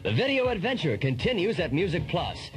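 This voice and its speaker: Animated male radio ad announcer. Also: high-pitched, fairly quick, poor audio. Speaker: commercial announcer. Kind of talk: 1980s radio commercial read, scripted. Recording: 1980s radio ad with music bed. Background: music.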